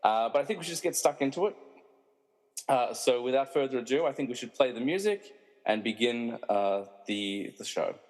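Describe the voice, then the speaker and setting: A man's voice: mid-range voice, lively intonation, moderate pace, a little mellow. A man hosting in a casual, chatty way with jokey, self-deprecating asides. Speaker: podcast host. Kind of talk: casual chat. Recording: long echoing room reverb.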